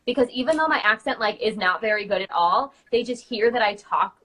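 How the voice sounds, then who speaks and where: A woman's voice: mid-range voice, brisk, a bit bright, rough recording. A woman in casual, chatty conversation as a guest on an online call, speaking agreeably. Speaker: guest, character party performer. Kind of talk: casual chat.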